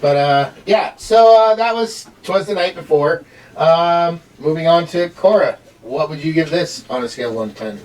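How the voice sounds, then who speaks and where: A middle-aged man giving a casual, rambling review in a halting way, as a host. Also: a little high, darkish tone. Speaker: amateur reviewer hosting with a child. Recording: distant mic, home recording.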